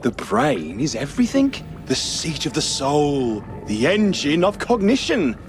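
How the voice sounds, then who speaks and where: An actor voicing an erudite, theatrical talking brain in a video game, with a pompous, florid, genteel manner. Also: male voice, fairly high pitch, wildly swooping pitch, a little slow, faint music behind. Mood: pompous, smug. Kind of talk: video game character voice acting.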